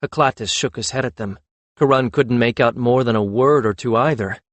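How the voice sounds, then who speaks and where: A man's voice: mid-range voice, normal speed, no echo. A man reading a scripted fantasy audiobook as narrator.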